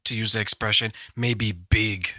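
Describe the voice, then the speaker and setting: A man's voice: fairly low pitch, steady tone, normal speed, bright, forceful tone, dry recording, clean studio sound. A man hosting an interview, asking friendly, reflective questions. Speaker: show host. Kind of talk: interview conversation.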